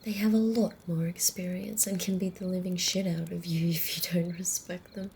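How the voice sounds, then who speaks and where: A woman playing a centuries-old female vampire in an ASMR roleplay, wry, teasing and casually menacing, in a soft, breathy, often whispered voice. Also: on the low side, level tone, slight background noise. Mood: darkly amused menace. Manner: unhurried, amused, conversational.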